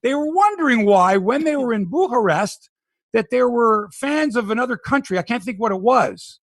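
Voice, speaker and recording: A man's voice: very high voice, lively intonation, normal speed, dry recording. A middle-aged Canadian man hosting a sports podcast, opinionated and sarcastic in casual talk. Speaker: podcast host.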